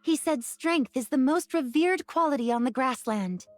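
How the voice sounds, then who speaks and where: An earnest woman of a grassland tribe, in a romance game, speaking formally and with measure. Her voice is high, with soft music underneath. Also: expressive, normal speed, no room sound. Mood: solemn.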